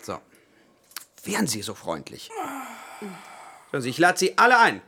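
A man's voice: a little high, wildly swooping pitch, unhurried, vocal fry, sharp tone, exaggerated voice. Radio play: chatty, fussily generous male, natural delivery, some background noise. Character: chatty, fussily generous man in a waiting room.

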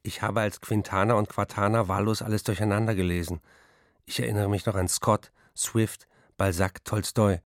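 An actor as a low-voiced, reflective writer. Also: male voice, flat delivery, moderate pace, no echo, clean studio sound, lightly acted. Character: troubled male writer looking back on his bookish youth. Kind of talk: radio play, first-person literary recollection.

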